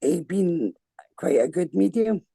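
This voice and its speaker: A Scottish woman with a deep voice, a medium asking for advice on a video call, hesitant with many ums. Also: very animated, measured pace, gravelly, mellow, no room sound, studio quality. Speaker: caller, a medium seeking advice.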